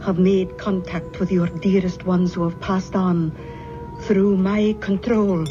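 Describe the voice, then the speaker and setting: An actress playing an earnest older Scottish aunt who holds seances, in an old radio horror drama. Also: female voice, lowish voice, level tone, takes it slowly. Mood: solemn, entranced. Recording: dull old radio, music behind.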